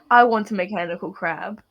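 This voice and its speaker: A woman's voice: medium pitch, measured pace, muffled recording. A woman casually commentating on a friend's game in a playful, teasing manner. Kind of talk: casual chat.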